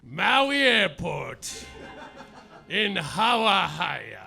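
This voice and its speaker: A man playing a boozy, hard-boiled male private eye, narrating in a mock-noir deadpan that drags out its punchlines, live on a stage over music. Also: high voice, very slow, gravelly. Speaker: comedic detective narrator. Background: live music and audience laughter.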